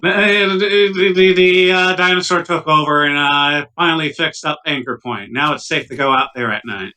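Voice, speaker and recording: A man's voice: a little high, moderate pace, sharp tone. A man bantering casually as a player in a tabletop roleplaying game, stammering as he jokes.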